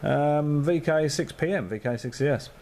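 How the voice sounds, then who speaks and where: A man's voice: on the high side, moderate pace, background noise. A man on amateur radio, chatting casually and thinking aloud with lots of fillers. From Australia.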